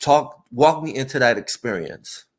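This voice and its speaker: A man's voice: mid-range voice, animated, a little slow. A man hosting a casual interview, asking questions and giving frequent short acknowledgements. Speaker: interview host.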